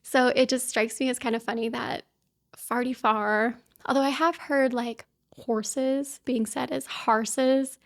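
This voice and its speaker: A woman from talks straight to her viewers in a casual book vlog. Her voice sits a touch on the high side, and her manner is chatty and upbeat, full of little asides. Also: steady tone, unhurried. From Kansas City, US. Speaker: book vlogger. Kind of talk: casual chat to camera.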